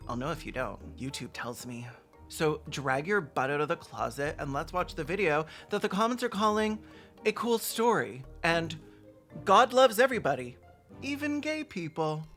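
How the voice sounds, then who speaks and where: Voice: mid-range voice, lively intonation, moderate pace, a bit bright. A person giving bawdy, sarcastic comedic commentary as the host of a reaction video, in a campy manner. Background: background music.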